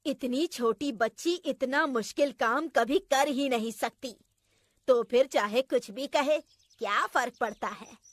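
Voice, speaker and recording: A woman's voice: sits high, unhurried, no room sound. A woman voicing a wicked, scheming forest witch with a taunting manner in a children's cartoon story. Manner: taunting, cackling.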